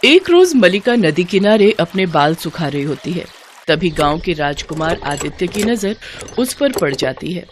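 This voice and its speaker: A woman's voice: fairly low pitch, big pitch swings, unhurried, a little hiss or hum. A woman narrating a scripted children's bedtime story as storyteller, with music behind her.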